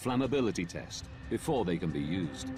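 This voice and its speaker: A man reading scripted documentary narration in a measured, grave manner. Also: sits low, big pitch swings. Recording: music bed behind narration.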